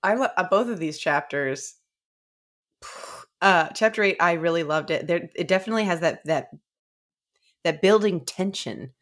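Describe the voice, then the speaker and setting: Low-pitched woman in casual book chat. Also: animated, normal speed, pauses often. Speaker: book club podcast co-host. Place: indoor room, remote video call.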